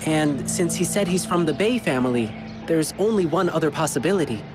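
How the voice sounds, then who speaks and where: Over clear game music comes a man acting as a friendly young male lion dancer. His delivery is earnest and very animated, swinging freely in pitch as he reassures whoever he talks to. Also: fairly quick, lightly acted. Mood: earnest, attentive. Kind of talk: video game character dialogue.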